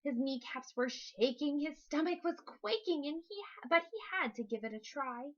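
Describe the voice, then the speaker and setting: High, animated woman reading a storybook. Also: moderate pace, dull audio. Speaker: storybook reader. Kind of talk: expressive rhyming children's story narration.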